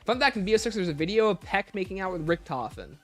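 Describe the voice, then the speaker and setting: Animated higher-voiced man hosting a livestream. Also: fast, soft background music. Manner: conversational, breaks off to greet and thank viewers. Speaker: livestream host. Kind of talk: casual livestream Q&A, reading and answering chat questions.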